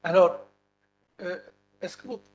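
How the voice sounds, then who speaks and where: A man moderates a formal session. His voice sits fairly high, and there is faint noise and hum around it. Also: takes it slowly, darkish tone, muffled recording. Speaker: session chair. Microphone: table gooseneck microphone. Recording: noisy room, low hum.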